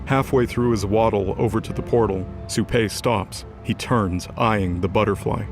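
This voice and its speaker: Low, gravelly male story narrator. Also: normal speed. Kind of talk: scripted dramatic story narration. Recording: music under the voice.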